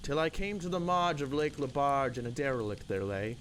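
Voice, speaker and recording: Man reciting dramatic verse over loud noise. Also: medium pitch, moderate pace. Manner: theatrical, rhythmic, emphatic. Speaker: poem reader. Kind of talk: dramatic narrative poetry recitation. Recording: loud background noise and ambience.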